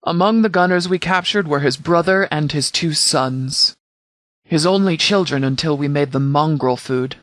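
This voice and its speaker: A man voicing a stern, disciplined sheriff in a video game, giving orders in a formal, measured military manner. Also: on the high side, fairly bright tone, no echo, natural voice. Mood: grave, resolute. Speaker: sheriff and commander. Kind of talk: scripted video game dialogue.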